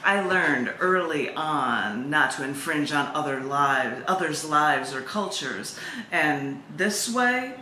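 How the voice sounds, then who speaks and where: Female poet and open mic host, bright forceful voice, mid pitch; live reading in an echoey room with faint noise. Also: expressive, slow pace. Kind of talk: poetry reading with chatty introductions.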